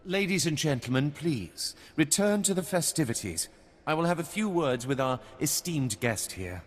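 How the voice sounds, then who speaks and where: A man's voice: fairly high pitch, expressive. Courteous commanding nobleman, reverberant hall. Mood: courteous, firm. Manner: formal, measured, diplomatic. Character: composed noble house lord, courteous but commanding. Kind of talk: scripted video game drama.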